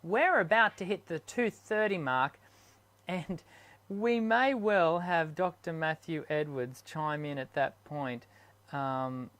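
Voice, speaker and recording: A man's voice: high-pitched, fairly bright tone. A man who coaches singers, hosting an unscripted live stream Q&A and talking hesitantly with many ums and restarts.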